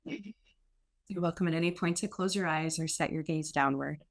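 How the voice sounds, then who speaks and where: A woman meditation teacher giving gentle guided instruction in a soothing, unhurried way with pauses between short phrases. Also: low voice.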